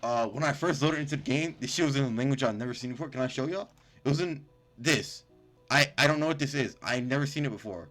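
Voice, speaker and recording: A man's voice: medium pitch, fast talker, a bit bright. A man hosting a gaming video, reading game text aloud, stumbling over words and breaking off into profane, incredulous commentary. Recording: music behind the voice.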